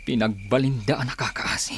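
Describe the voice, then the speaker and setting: A man's voice: mid-range voice, a little fast, close to an everyday voice. A man with an Ilocano Filipino accent playing an embittered, brooding young man in a radio drama. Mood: bitter, anguished. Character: brooding embittered young man, vengeful over broken family. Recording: noisy, chirping cricket ambience behind. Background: crickets and insects.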